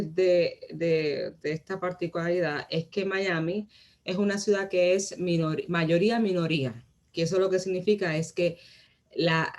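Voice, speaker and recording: Woman lecturing, halting. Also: lowish voice, a little mellow. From Puerto Rico. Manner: hesitant, many fillers and restarts. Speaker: guest speaker, curator. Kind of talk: lecture presentation.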